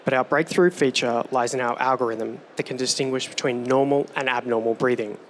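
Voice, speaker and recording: A man giving a rehearsed startup pitch on stage with a steady, polished delivery. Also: a little fast, slight background noise. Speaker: startup presenter.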